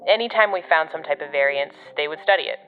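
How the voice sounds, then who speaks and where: Audio drama: sardonic female agency operative, low and casual, guiding over a dull radio link. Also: fast, faint music behind. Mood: explaining. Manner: dry, sarcastic, casual. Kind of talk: audio fiction podcast acting.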